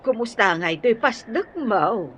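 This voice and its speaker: A woman's voice: fairly high pitch, very animated, a little slow. A woman playing a tender older mother fussing over her grown son in a radio drama, with a Filipino accent. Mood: doting. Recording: dull, faintly noisy radio recording.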